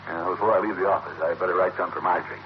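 A man's voice: low-pitched, fairly even intonation, racing, lightly acted. An actor playing a pompous, blustering middle-aged American family man in a 1940s radio comedy. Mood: self-satisfied, grumbling. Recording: muffled, noisy old radio recording.

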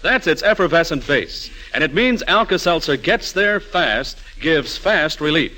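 A male announcer delivers a vintage radio commercial with lively swings of pitch. His voice is bright and fairly high, and he sells each point with emphasis. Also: a little slow, low-quality recording. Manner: emphatic, salesmanlike.

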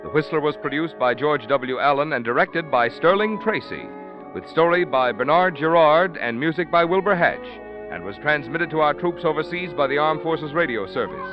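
A man's voice: a little high, lively intonation, moderate pace. A man announcing scripted sponsor messages on 1940s radio with a polished, emphatic sales delivery. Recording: dull, dry vintage radio recording. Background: music.

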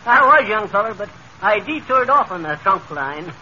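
A cocky, folksy American windbag from an old radio comedy. His very high, piercingly bright voice trips over its own words, on a muffled, noisy vintage broadcast. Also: sing-song, moderate pace, exaggerated voice. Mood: cocky, flustered. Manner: tongue-twisted, chuckling, cocky. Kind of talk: 1930s radio comedy acting.

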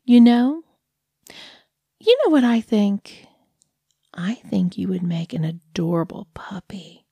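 A woman's voice: medium pitch, expressive, takes it slowly, long pauses, warm tone, slightly rough, no room sound. A woman voicing a sugary, condescending woman who trains human pets, in half-whispered, patronizing baby talk. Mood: cooing.

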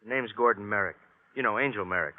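A man playing a wisecracking American police detective from an old radio crime show. He is low-pitched, casual and wry, heard through narrow, tinny vintage sound. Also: fast talker, natural voice. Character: wry, plain-talking male police detective sidekick. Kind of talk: 1950s radio crime drama acting.

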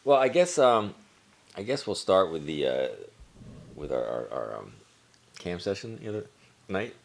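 Low-voiced laid-back podcast co-host man. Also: big pitch swings, normal speed, creaky. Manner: laid-back, profane, storytelling with restarts. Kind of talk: casual chat.

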